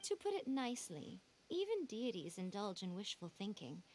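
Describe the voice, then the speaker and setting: A woman's voice: medium pitch, very animated, normal speed, a little mellow, slight background noise, natural voice. A woman voicing a sly, playful female shrine priestess in a game, amused and teasing. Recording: game audio with clear music.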